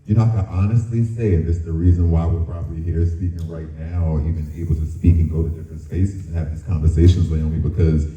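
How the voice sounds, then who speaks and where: A man with a deep, very dark and mellow voice shares candid stories on a panel. He talks colloquially, slipping into quoted asides. The sound is muffled and echoey, picked up away from the mic. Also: fairly even intonation, fast talker, hardly any pauses, recorded from a distance. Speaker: dancer on a discussion panel. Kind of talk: candid personal storytelling at a panel. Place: town hall event room.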